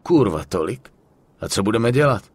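An actor playing a level-headed, practical young mobster with a coarse, urgent way of talking in a dubbed game. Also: male voice, fairly low pitch, sing-song, husky, full character voice. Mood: tense, businesslike. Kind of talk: dramatic game dub acting.

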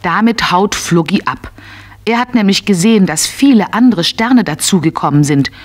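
Female storyteller for children's audio play. Mid-range voice, measured phrasing. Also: medium pitch, animated. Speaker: narrator. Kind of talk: scripted children's story narration.

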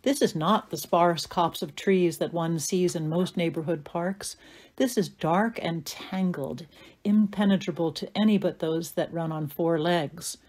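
Woman narrator reading stage directions, kinda low voice, atmospheric audio drama storytelling. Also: a little slow, a little mellow.

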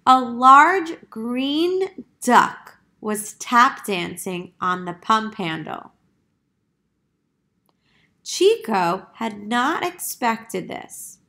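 A woman reading a picture book aloud to young children in a deliberate, emphatic teacher's manner. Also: on the high side, sing-song, pushed and bright.

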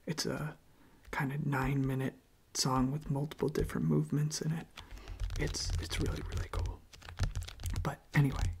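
A young man speaking in a hushed, breathy, mostly whispered ASMR style, unhurried and halting, close to the microphone. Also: mid-range voice, level tone, noisy background. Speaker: ASMR video creator. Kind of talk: soft-spoken ASMR.

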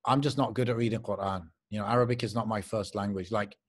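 A man's voice: fairly low pitch, fairly even intonation, fast. Young man hosting a podcast. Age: young adult. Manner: explains steadily, checks in with "yeah?". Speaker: podcast host. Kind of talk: casual podcast chat. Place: living room at home. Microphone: video call.

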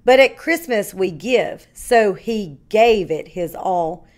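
A woman reading a rhyming picture book aloud to young children like a kindergarten teacher, with measured, deliberate phrasing. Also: mid-range voice, expressive, a little mellow.

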